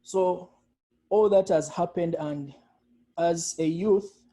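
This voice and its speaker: Slow-spoken Ugandan man in his thirties. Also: sits high, warm tone. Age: 30s. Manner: slow, deliberate speech. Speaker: panelist. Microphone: video call.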